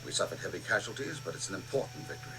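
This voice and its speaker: A man's voice: low-pitched, sing-song, crowd noise, low-quality recording. An actor playing a diplomatic, protective royal father and statesman in a 1980s radio drama, formal and dignified. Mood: grave. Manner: formal, measured in wording, protective. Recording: radio drama with faint music underneath.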